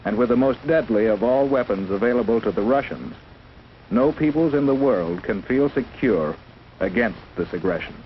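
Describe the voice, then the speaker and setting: An American man narrates in the scripted style of a 1950s instructional film. His medium-pitched voice is formal and solemn, stating each line declaratively. The sound is a dull old film track with faint background noise. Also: animated, moderate pace.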